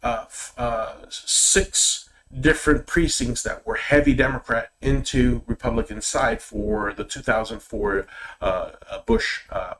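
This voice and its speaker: A man's voice: medium pitch, fairly even intonation, a little slow, a bit bright, low-quality recording. A middle-aged man from Puerto Rico with a Puerto Rican accent, a native Spanish speaker answering as a political candidate, hesitant and repeating words. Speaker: political candidate being interviewed. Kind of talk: interview answers.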